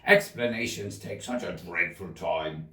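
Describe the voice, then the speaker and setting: A man's voice: low voice, measured pace, sharp tone, voice pushed down. A man voicing an impatient, bossy gryphon in a storybook reading, brusque and commanding. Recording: echoey room, distant mic.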